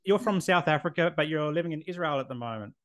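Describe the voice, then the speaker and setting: A man's voice: on the high side, expressive, quick pace, a bit bright. A man in his thirties with an Australian accent, hosting a casual podcast interview in a friendly, chatty way. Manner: friendly, chatty, asks questions with fillers. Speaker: podcast host.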